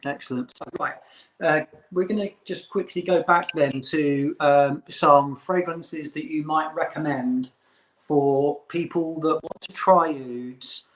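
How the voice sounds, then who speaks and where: Male host, higher-pitched, eager and chatty in live interview. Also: fairly even intonation, slow pace, fairly bright tone, poor audio.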